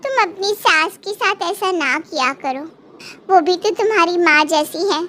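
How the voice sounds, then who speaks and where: A meddling neighbour lady from a cartoon tale, voiced by a woman, very high in pitch. She is inquisitive and earnest, full of concern and advice. The recording has faint background noise. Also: animated, unhurried, a bit bright, exaggerated voice. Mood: earnest advising. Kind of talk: Hindi cartoon moral story acting.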